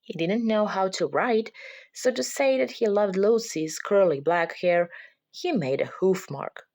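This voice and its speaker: A woman's voice: mid-range voice, expressive, measured pace, a little mellow. A woman reading a children's picture book aloud as a parent would, in scripted storybook narration.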